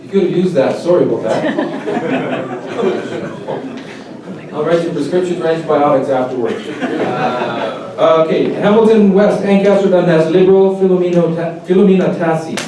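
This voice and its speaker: A man's voice: a little high, normal speed, pauses often, a crowd in the background. A man moderating an event, making informal, hesitant announcements to a live audience. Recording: very echoey hall, distant, noisy.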